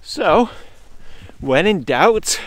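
A man's voice: high-pitched, expressive, extremely slow, no echo. Reflective man vlogging outdoors. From England. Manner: reflective, thinking aloud with fillers. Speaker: landscape photographer vlogger. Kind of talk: casual vlog narration. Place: outdoors in winter Scottish highlands.

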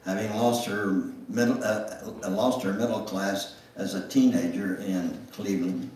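An older man gives a deliberate dramatic reading of a memoir, with pauses. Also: fairly low pitch, steady tone, a little mellow, a little hiss or hum. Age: older, 70s or above. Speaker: guest speaker reading his memoir. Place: church sanctuary, standing at music stand. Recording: echoey church room, distant microphone.

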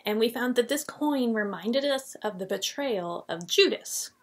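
A woman's voice: a little high, lively intonation, unhurried, background noise. A woman in her forties teaching a children's Bible lesson on webcam, telling the story expressively and reading scripture aloud. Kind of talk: Bible lesson for kids on video. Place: small painted classroom office, indoors. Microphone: webcam at desk.

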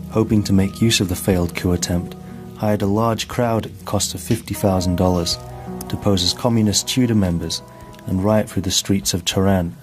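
A man narrating a scripted documentary in a measured, formal manner. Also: deep voice. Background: background music.

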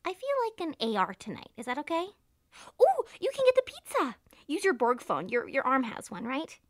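A woman's voice: high-pitched, huge pitch swings, moderate pace, no room sound, clean studio sound, full character voice. A woman playing a chatty, breezy young best friend, casual, bubbly and reassuring.

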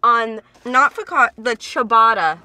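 Young woman, bright crisp-edged voice, slightly high, playful, offhand, casual car chat. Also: normal speed. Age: 20s. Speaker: guest on friend's vlog. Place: inside a parked car.

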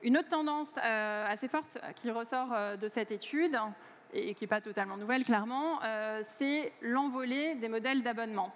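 A woman's voice: on the high side, moderate pace. A woman in her forties giving a conference keynote on a large stage through a headset mic, speaking fluently but with many hesitant fillers. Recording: long hall reverb.

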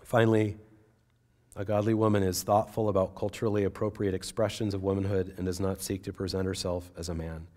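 A man in his forties, a pastor, preaching an earnest, measured sermon from a pulpit on a church stage. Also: sits low, flat delivery, a little mellow.

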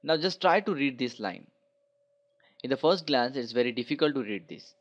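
A man's voice: medium pitch, animated, moderate pace. A man narrating a slideshow tutorial as a programming instructor. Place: voice-over for a screen recording. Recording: dull lo-fi voice recording.

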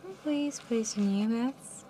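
A young woman working as a live casino card dealer, calling each round in a soft, mumbly, calm and polite way from a studio table. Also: fairly high pitch, slow, a little hiss or hum. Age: young adult. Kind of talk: announcing card game rounds.

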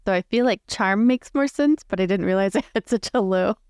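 A woman's voice: medium pitch, brisk, a little mellow, clean tone, no echo. Giggly woman chatting, dry close sound. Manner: giggly, informal. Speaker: player in a tabletop story podcast. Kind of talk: casual game chat and improvised roleplay. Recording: dry, faint music behind.

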